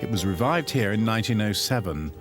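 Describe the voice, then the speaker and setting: Lively-voiced man presenting a garden documentary. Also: fairly low pitch. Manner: measured, explanatory delivery. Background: background music.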